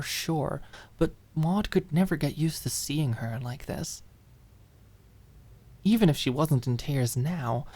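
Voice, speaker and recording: A woman's voice: very deep voice, darkish tone. Woman narrating dramatic audiobook fiction.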